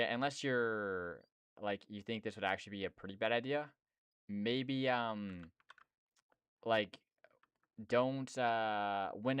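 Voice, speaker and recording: A man's voice: medium pitch, fairly bright tone, clear, resonant tone, dry recording. A young man streaming and chatting casually about game development, slangy and rambling with lots of fillers. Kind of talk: casual chat.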